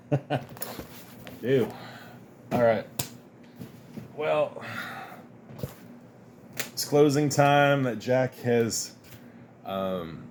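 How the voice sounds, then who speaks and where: A man's voice: mid-range voice, extremely slow, long pauses, a little hiss or hum. A man chatting casually and rambling as he shows off his comic and card haul, laughing now and then and sounding a bit sniffly. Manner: relaxed, rambling, chuckles often. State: sniffly from dust. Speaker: comic collector vlogger. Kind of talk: casual unboxing chat.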